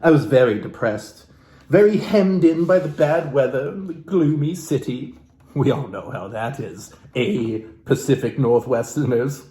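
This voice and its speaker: A man from Alaska giving a comedic, theatrical book reading as host, florid and mock-genteel, with dramatic pauses and asides to his viewers. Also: medium pitch, animated, dark tone. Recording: roomy, echoey room sound.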